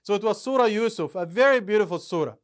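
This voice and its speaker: A man's voice: high-pitched, animated, fast. A man, an author and imam, explaining his Quran dictionary and how it was made, step by step with examples. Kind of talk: explanatory talk about a book.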